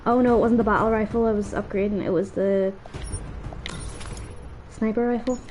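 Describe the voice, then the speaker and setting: A woman's voice: medium pitch, steady tone, normal speed, a little mellow, slight background noise. A woman giving casual, rambling, self-deprecating let's play commentary, her voice slightly stuffed up as if from a cold. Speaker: gaming video host.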